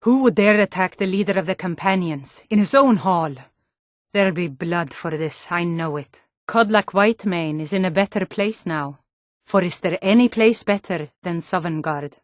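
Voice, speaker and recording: A woman's voice: fairly low pitch, normal speed, dry recording, clean studio sound, close to an everyday voice. A woman voice actor playing a tough, wary female Nord city guard in a fantasy video game, with blunt, colloquial delivery. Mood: respectful. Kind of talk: scripted fantasy game dialogue in short standalone lines.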